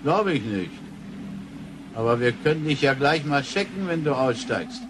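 An actor playing an old inventor grandfather in a science-fiction radio play, protective, earnest and a little gruff. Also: medium pitch, very animated, normal speed, noisy background, lightly acted. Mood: gruff, concerned. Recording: music behind the voice.

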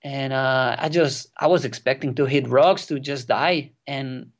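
A young man in his twenties, a non-native English speaker whose first language is Catalan, telling a wild kayaking story as a guest, excited and swearing freely. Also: medium pitch, unhurried. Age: 20s. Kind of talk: casual storytelling interview. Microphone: remote call.

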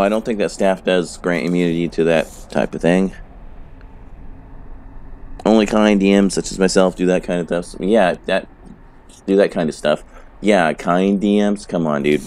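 A man's voice: low voice, fairly even intonation, moderate pace, pauses often, darkish tone. A man with a sore throat giving laid-back, profane commentary while playing a game. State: sore throat, a little hoarse. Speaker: let's play host. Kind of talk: casual gameplay commentary.

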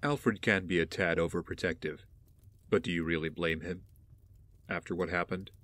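An actor playing a guarded young wealthy heir in an audio drama, brooding and wary, with a measured, terse delivery. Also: male voice, sits low, fairly even intonation, fairly bright tone, dry recording.

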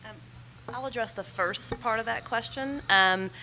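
A woman's voice: on the high side, moderate pace, sharp tone. A woman in her forties with an American accent giving an emphatic, explanatory, unscripted answer as a panelist, speaking into a table microphone. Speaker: panelist on an education policy panel. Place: large conference ballroom stage. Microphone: gooseneck table microphone. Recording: live conference PA with faint room noise.

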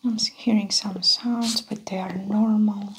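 Soft whispering woman, ASMR doctor. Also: mid-range voice, fairly even intonation, a little hiss or hum. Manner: gentle, unhurried, explaining each step. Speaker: ASMR performer playing a doctor. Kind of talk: soft-spoken ASMR medical exam roleplay.

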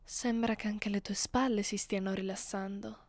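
A woman playing a haughty, seductive vampire mistress in an ASMR roleplay, speaking softly and condescendingly, close to the microphone, with an Italian accent. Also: medium pitch, fairly even intonation, no room sound, natural voice. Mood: soothing, possessive. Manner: slow, condescending, teasing, often dropping into whispers. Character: haughty, seductive female vampire mistress, commanding her captive. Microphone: close studio microphone.

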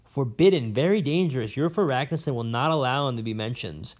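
A man's voice: mid-range voice, lively intonation, brisk, a little mellow, voice pushed up, close to an everyday voice. A man voicing a pompous, rambling old male professor in an audiobook, blustering and fussy. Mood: conspiratorial. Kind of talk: audiobook character voice.

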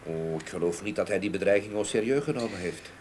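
An actor playing a grieving, haunted older gentleman in a Dutch radio play, speaking in a halting, brooding way. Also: deep voice, close to an everyday voice. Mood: composed, reserved. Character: grieving, haunted older gentleman seeking revenge for murdered niece. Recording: old radio play recording, background noise.

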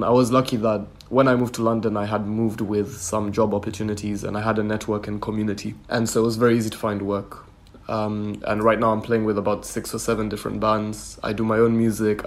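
A man from Kenya speaks in a low, even tone that rarely climbs. He sounds relaxed and thoughtful, and often pads his sentences with "you know" as he talks about his craft. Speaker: musician guest. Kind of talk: interview answers.

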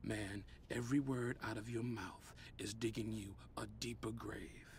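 An actor playing a heavyset, menacing record mogul who threatens a rival in a game cutscene. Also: male voice, lowish voice, steady tone, a little slow, vocal fry, slight background noise. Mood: threatening. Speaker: game villain.

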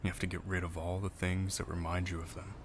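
A man's voice: low voice, flat delivery, fast talker, noisy background. A man giving reflective scripted voice-over narration in a solemn, sentimental manner. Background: music.

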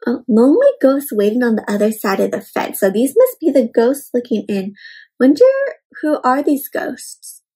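Young lady doing a kids read-aloud, highish breathy-rough voice, expressive and teachery, explains as she goes. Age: young adult. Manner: expressive, emphatic, teacherly, slowing on key words. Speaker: storyteller reading aloud to children. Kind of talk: interactive children's book read-aloud with questions and explanations. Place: indoor room at home.

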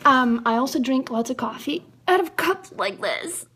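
A woman in her thirties with a Russian accent, talking straight to a close camera at home in a goofy, theatrical vlog that swings from whispers to excited squeals. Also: sits high, normal speed, slightly rough. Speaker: indie writer and vlogger. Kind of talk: playful casual vlog to camera. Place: small room indoors at home. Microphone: phone or webcam close to the face.

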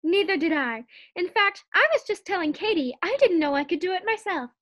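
Woman playing very high-pitched scatterbrained radio housewife. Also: expressive, a little fast, fairly bright tone, lo-fi sound. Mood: playful. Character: scatterbrained, scheming, cheerful young housewife Liz. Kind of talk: 1940s radio sitcom acting.

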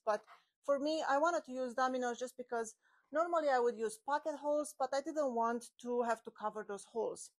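A woman in her forties hosting a woodworking tutorial, chatting through each step while she works with her hands, recorded on a clip-on mic in a home workshop. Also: a little high. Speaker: woodworking channel host. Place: home woodworking shop with open garage door. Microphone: small clip-on mic on her shirt.

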